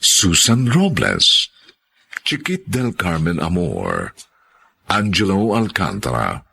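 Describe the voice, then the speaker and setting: A man's voice: low-pitched, wildly swooping pitch, takes it slowly, gravelly, pushed and bright, husky. A man announcing a Filipino radio drama, reading scripted closing narration and credits in a formal, dramatic style.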